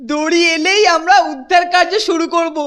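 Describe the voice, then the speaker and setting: A woman's voice: very high voice, steady tone, a bit bright. A woman voicing a bossy, boastful teenage boy in a cartoon who talks in a pompous way. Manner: bossy, pompous, quick to panic. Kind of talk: animated cartoon dubbing. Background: cartoon background music.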